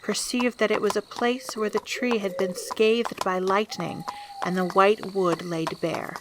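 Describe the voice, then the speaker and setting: Lady reading an old story as narrator, measured delivery, mid-range voice, noisy background. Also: mid-range voice, steady tone. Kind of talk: scripted literary narration for audio drama. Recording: clearly noisy background with clicks.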